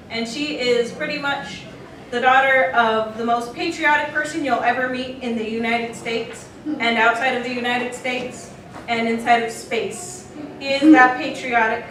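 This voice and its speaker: A woman's voice: on the high side, a bit bright. A woman telling stories casually and emphatically as a creator on a convention panel. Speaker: podcast character creator and panelist. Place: convention panel room, recorded from afar. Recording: echoey noisy room, distant microphone.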